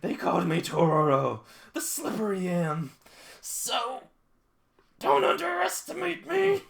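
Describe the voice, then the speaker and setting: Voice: medium pitch, big pitch swings, measured pace, creaky, voice pushed up. A reader voicing a fierce, boastful teenage girl who teases and taunts, in a comic read-along.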